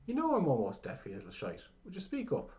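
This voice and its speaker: A man voicing a crass, half-deaf old blacksmith who shouts in a gruff, rough brogue. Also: fairly high pitch, wildly swooping pitch, quick pace, dull audio, voice pushed up. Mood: shouting.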